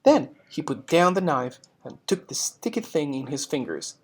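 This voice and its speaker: A teacher giving instructions and reading a storybook aloud in a deliberate, expressive, explanatory way. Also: medium pitch.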